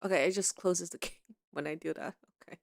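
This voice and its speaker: A woman's voice: fairly low pitch, fairly even intonation, a little fast, gravelly, no room sound, studio quality. A woman gamer giving casual playthrough commentary and reading dialogue choices aloud as she plays. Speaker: gaming streamer. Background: game music.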